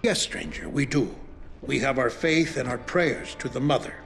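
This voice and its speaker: An actor playing a wary, devout middle-aged village leader in a video game, speaking in a solemn, measured way. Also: male voice, mid-range voice, lively intonation, gravelly, a bit bright, soft background music. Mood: devout, stern.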